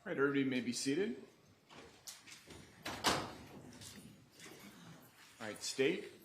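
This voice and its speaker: A man's voice: medium pitch, animated, slow, background noise. A man presiding as a judge, giving procedural instructions in a courtroom.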